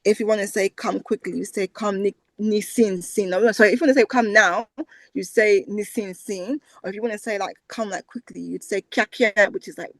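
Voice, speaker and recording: A woman's voice: mid-range voice, a little fast, no echo. A British woman, a language researcher, talking animatedly as a guest in a conversational interview. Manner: animated, repeats words for emphasis.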